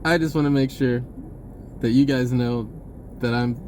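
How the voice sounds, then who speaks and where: A man talking casually in a rambling monologue, halting and pausing mid-sentence as he goes. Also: mid-range voice, steady tone, a little mellow, a little hiss or hum. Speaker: vlogger.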